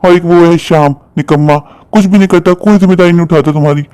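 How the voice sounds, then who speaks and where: A selfish, irritable grown son voiced by a man in a cartoon tale. He scolds impatiently at a quick clip, in a very dry recording. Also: sits high, steady tone, a little mellow, smooth, dry recording, voice pushed up, lightly acted. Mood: angry, scornful. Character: callous, irritable grown son resenting his mother.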